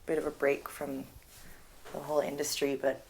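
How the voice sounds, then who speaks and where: A young woman from Canada, a singer-songwriter, chatting in an interview and telling stories in a lively, laughing way. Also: low-pitched, normal speed, slight background noise, poor audio. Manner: chatty, animated storytelling with laughs. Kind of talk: casual interview.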